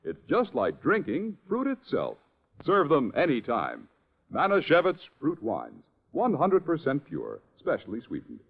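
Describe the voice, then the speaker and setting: An American man announcing a commercial in an excited 1950s radio sales style. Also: on the high side, measured pace, vocal fry, husky. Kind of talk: excited 1950s radio ad pitch with mock sportscasting. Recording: muffled vintage radio ad recording.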